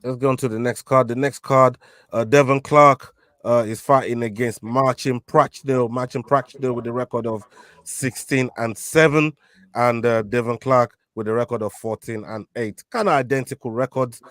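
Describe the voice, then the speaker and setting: A man's voice: medium pitch, steady tone, moderate pace, fairly bright tone, dry recording, clean studio sound. A man hosting a casual fight prediction show, leading the picks with many fillers and restarts. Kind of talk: casual betting picks chat.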